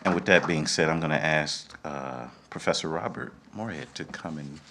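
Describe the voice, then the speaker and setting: A middle-aged man emceeing a live event, speaking in measured, deliberate phrases. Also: a bass voice. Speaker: event host. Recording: live event with faint background noise.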